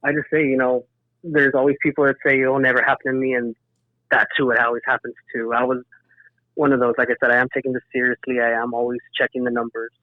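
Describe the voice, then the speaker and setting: Male interview guest, conversational and earnest, mid-pitched, dry sound through a narrow phone-quality connection. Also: little pitch movement, fast, no room sound. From south Texas, United States. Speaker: interview guest, emergency dispatcher. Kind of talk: casual personal account in a podcast interview. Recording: thin phone-like band-limited sound.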